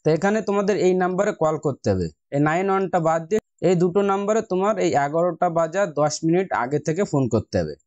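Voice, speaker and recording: A man's voice: high-pitched, fast, hardly any pauses, a little mellow, no echo, lo-fi sound. A man with an Indian Bengali accent, narrating a casual step-by-step tutorial and addressing viewers as friends in an instructional way. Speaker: investing tutorial host.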